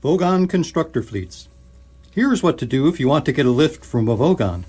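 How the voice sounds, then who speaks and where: A man voicing a droll, blunt electronic guidebook, reading out entries in a deadpan, knowing way for a radio comedy. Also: on the high side, moderate pace, slightly rough.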